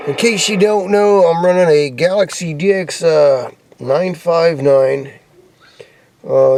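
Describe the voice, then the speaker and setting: American male hobbyist vlogger, folksy and laid-back, gravelly edge, lightly noisy amateur recording. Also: on the high side, warm tone. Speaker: hobbyist vlogger showing off CB radio gear. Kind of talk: casual rambling show-and-tell. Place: in or around a pickup truck. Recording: noisy handheld camera recording with clicks.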